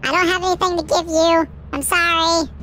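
Bright high woman on voice chat. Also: fairly even intonation, fairly quick, slight background noise. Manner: playful, puts on a tiny voice. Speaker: gaming teammate. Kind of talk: casual gaming banter.

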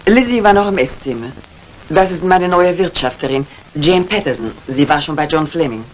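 Dignified, low-voiced lady of the house. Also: expressive, normal speed. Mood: composed. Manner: poised, genteel, matter-of-fact. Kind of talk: 1950s German radio crime drama acting. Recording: faintly noisy vintage radio drama recording.

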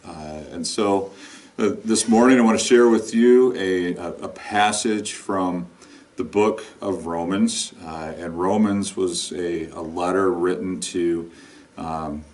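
Deep-voiced, slow-speaking male pastor. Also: darkish tone. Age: middle-aged. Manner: halting, deliberate delivery. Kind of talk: informal sermon and prayer. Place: indoor lounge with couch and brick wall. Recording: distant microphone in a room.

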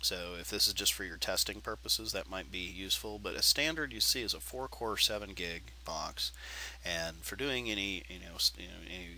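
A man's voice: low voice, moderate pace, a little hiss or hum. A man hosting a tech screencast tutorial, talking casually with lots of fillers, sounding nasal and stuffy from allergies. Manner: relaxed, rambling with many fillers.

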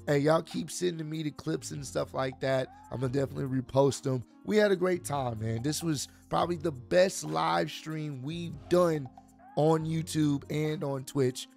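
A man in his thirties with a hoarse, worn-out voice, casually recapping a wrestling show for his channel from a home studio. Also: a little high, normal speed. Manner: animated, talks with his hands, loose slangy delivery. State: hoarse, voice mostly gone. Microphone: large studio mic on boom arm. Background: soft instrumental music.

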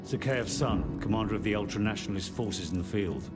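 Guy playing deep brit soldier boss, grim low-key briefing, video game, loud music under it. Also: a little fast, close to an everyday voice. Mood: grim, measured. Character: grim, seasoned British special forces officer giving briefing.

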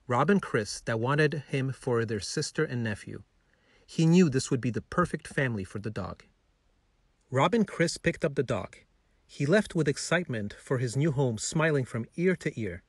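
A man's voice: medium pitch, expressive, normal speed, slightly rough, no room sound, clean studio sound. A man narrating a scripted children's bedtime story.

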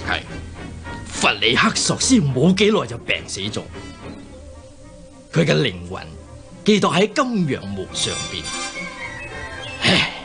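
Old scheming king, Cantonese radio play, Hong Kong accent, halting sighs, very varied pitch, clear music underneath. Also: huge pitch swings, exaggerated voice. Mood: solemn, persuasive. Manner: sighing, halting, unctuous. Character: old scheming usurper king feigning weary remorse. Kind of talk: Cantonese radio drama acting.

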